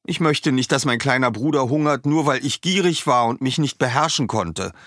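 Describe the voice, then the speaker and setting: A man voicing an earnest, anxious young villager who explains things eagerly, with a husky voice. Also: mid-range voice, moderate pace, a bit bright, no room sound, clean studio sound, pitched above the performer's own voice, lightly acted. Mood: ashamed.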